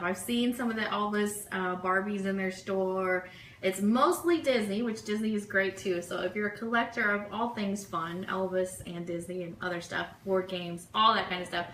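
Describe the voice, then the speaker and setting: A chatty, enthusiastic woman co-hosting a casual livestream radio show, reading out requests and shout-outs. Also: medium pitch, moderate pace. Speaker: co-host of an online fan radio show. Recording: roomy echo, clear background noise.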